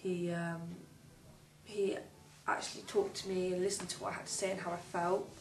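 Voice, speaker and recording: A young woman in her late teens, a young mother, telling her story hesitantly with pauses. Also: on the low side, flat delivery. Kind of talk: personal testimony. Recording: roomy, distant, faint noise.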